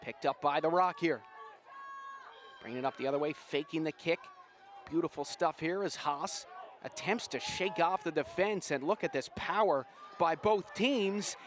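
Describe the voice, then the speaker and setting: A man doing live, excitable play-by-play commentary on a rugby sevens match. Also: on the high side, normal speed, a little hiss or hum. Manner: excitable, builds to shouts at big plays.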